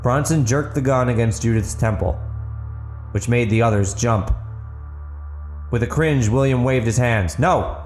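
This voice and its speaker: A man's voice: medium pitch, measured pace, pauses often, darkish tone. A man narrating fiction as a dramatic scripted audiobook. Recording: roomy echo, faint music underneath.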